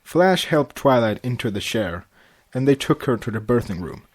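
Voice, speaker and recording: A man's voice: medium pitch, animated, normal speed. A man narrating a story aloud as an amateur podcast host, tripping over words and correcting himself. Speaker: podcast host reading fan fiction aloud.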